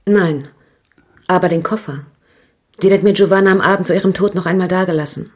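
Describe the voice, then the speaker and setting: A woman's voice: fairly low pitch, fairly even intonation, brisk, lightly acted. An actress playing a nervous, talkative woman shop owner in a radio crime drama, speaking hesitantly. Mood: hesitant, confiding. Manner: hesitant, gossipy, restarting sentences.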